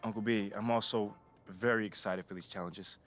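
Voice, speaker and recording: A man's voice: fairly low pitch, steady tone, fairly quick, faint music behind, natural voice. A man playing an eager, respectful young pro basketball player in a video game cutscene, talking in casual slang. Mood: pleased, respectful. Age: young adult.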